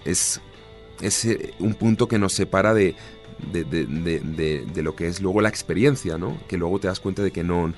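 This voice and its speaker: Spanish-accented man, 30s, deep low register, relaxed talker who restarts often; faint music behind. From Spain. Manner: easygoing, chatty, with frequent restarts. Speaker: actor guest. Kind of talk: relaxed interview answers.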